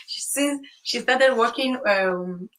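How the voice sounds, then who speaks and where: A woman's voice: on the high side, fairly bright tone. A woman talking casually about life as a new mother, chatty with many fillers and repeated words. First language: English. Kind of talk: casual chat. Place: home in Korea.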